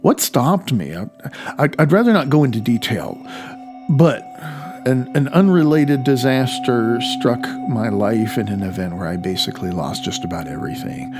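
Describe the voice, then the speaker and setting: A man with a gravelly voice, narrating his own memoir as an audiobook, haltingly, sometimes stumbling over words. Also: mid-range voice, expressive, over music. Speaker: author reading own audiobook.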